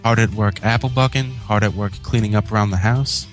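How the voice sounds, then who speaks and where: A man's voice: on the low side, a little fast, faint music behind. A man reading a scripted story aloud as a co-host and narrator. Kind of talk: scripted fan fiction narration.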